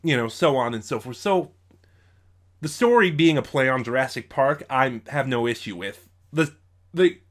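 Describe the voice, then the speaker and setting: A man records an unscripted review in a medium-pitched voice. He talks haltingly, as if working out his opinion aloud, and often breaks off to rephrase a sentence midway. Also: animated, a bit bright. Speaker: YouTube audio drama reviewer.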